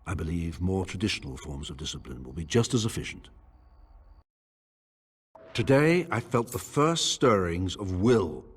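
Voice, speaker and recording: Husky, very deep, obsessive brooding lord. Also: male voice, expressive, full character voice. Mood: hungry, obsessed. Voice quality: husky, breathy. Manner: brooding, theatrical, deliberate phrasing. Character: grieving, obsessive lord descending into tyrannical madness. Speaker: voice actor. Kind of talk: dramatic diary reading.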